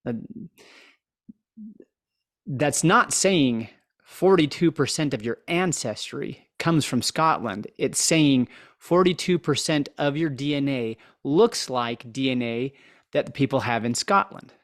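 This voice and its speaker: A man's voice: medium pitch, lively intonation, slow pace, dry recording, studio quality. Folksy American man hosting a podcast. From southeast Idaho, United States. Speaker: podcast host. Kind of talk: casual interview chat.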